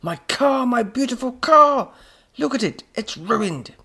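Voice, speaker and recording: A man voicing an irascible mad professor in a children's story, exasperated, melodramatic and given to shouting. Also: medium pitch, sing-song, normal speed, gravelly, husky, voice pushed up, heavily acted. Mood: anguished wailing. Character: irascible mad professor, shrieking and barking, easily enraged.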